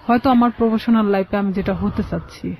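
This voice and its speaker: A Bangladeshi woman giving personal testimony as an interview guest, in a halting, plain-spoken way. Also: mid-range voice, gravelly, a little mellow. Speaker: guest telling her life story. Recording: dull, muffled lo-fi sound.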